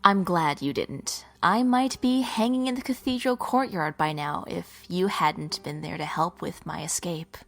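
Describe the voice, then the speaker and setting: A woman playing a devout, determined rebel leader in a fantasy audio drama, soft and breathy, gentle with friends. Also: fairly low pitch, unhurried, few pauses. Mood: warm.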